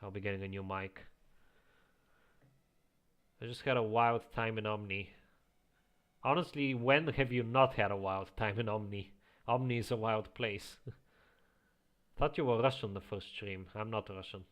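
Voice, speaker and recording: A man with a slight Eastern European accent, chatting casually as a streamer, reading chat aloud and chuckling often into a quiet home microphone. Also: on the low side, unhurried, pauses often. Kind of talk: casual live-stream chat while playing.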